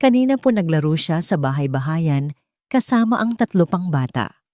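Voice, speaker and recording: A woman's voice: sits low, normal speed, no echo, clean studio sound. A woman voicing an enthusiastic, polite female kindergarten director in a dramatic story. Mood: excited, reporting. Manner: lively, enthusiastic.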